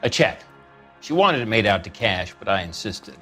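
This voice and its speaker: A man's voice: fairly low pitch, sing-song, normal speed, sharp tone, faint music behind. Slick, swooping used-car salesman. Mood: breezy, wry. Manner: jokey, folksy patter, calling others son. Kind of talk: video game character acting.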